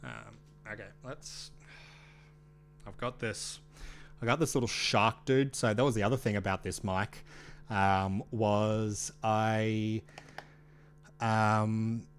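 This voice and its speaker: A man streaming live, chatting casually with his viewers, hesitant with many fillers and restarts, speaking into a dynamic mic on a boom arm at home. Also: fairly even intonation, slow, long pauses, smooth.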